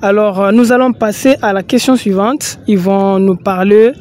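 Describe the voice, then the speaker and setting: A man hosting an online interview show, greeting his followers warmly and introducing guests. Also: sits high, steady tone, moderate pace, slight background noise. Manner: friendly, promotional greeting to followers. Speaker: host.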